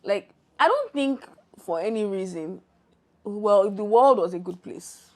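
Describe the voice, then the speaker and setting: A woman's voice: medium pitch, lively intonation, a little slow. A young Nigerian woman co-hosting a casual podcast, chiming in and trailing off mid-thought. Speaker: podcast co-host. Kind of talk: casual chat between best friends.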